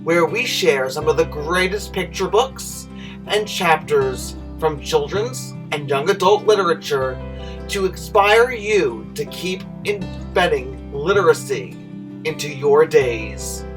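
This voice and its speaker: A man reads a children's story as a host, with expressive and deliberate phrasing. His voice is fairly high, heard at some distance from the mic in a roomy space. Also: music in the background. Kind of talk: animated children's picture-book reading.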